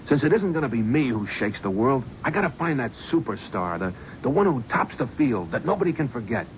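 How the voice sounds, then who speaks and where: An actor playing a driven, haunted talent scout in a 1970s radio drama, bitter and sardonic. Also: male voice, mid-range voice, big pitch swings, fairly quick, lightly acted. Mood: restless. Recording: dull old radio broadcast with faint hiss.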